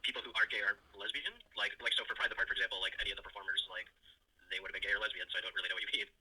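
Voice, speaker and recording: Quick, sharp-voiced man on the phone. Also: fairly low pitch, little pitch movement, breathy edge, no echo. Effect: telephone. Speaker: politician's office assistant. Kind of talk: office phone conversation. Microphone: telephone. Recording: phone line.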